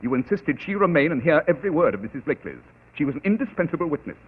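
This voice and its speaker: Arrogant master detective; incisive, husky, old broadcast. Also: male voice, on the high side, big pitch swings. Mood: cool. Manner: measured, precise, dryly cutting. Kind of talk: 1940s radio acting. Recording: tinny old radio broadcast.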